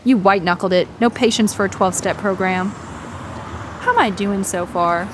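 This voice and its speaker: A woman playing a sharp, defiant young researcher in a horror audio drama, speaking formally, directly and challengingly. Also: medium pitch, normal speed, background noise, close to an everyday voice. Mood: accusing, analytical.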